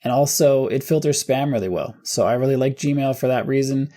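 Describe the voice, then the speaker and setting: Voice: mid-range voice, fairly quick, warm tone. A tech tutorial host gives casual, conversational step-by-step instructions, thinking aloud informally.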